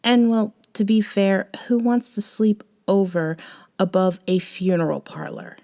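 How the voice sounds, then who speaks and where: A woman playing a gentle, eccentric young woman, a caring friend, in an intimate ASMR audio roleplay, soft-spoken with hesitant pauses. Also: mid-range voice, close to an everyday voice. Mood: wryly teasing.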